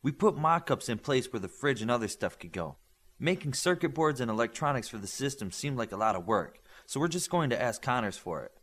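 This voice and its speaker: A man's voice: mid-range voice, animated, brisk, a bit bright. A man voicing a casual, matter-of-fact teenage superhero boy in a story reading.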